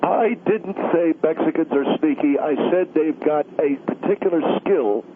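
A man's voice: fairly high pitch, normal speed, close to an everyday voice. A man voicing a smug, pompous, self-righteous older male pundit who is a guest on a call-in radio show, heard over a telephone line. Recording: tinny phone line with faint hiss.

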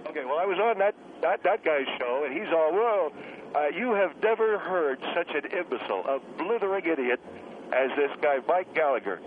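A man's voice: on the high side, animated, moderate pace, natural voice. A man acts out an irascible male scientist calling a comedy radio show. He harangues and belittles in a condescending way. The line is thin and telephone-like, with faint noise. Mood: exasperated ranting. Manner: haranguing, insulting, goading. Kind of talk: comic radio phone-in. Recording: tinny, noisy phone line.

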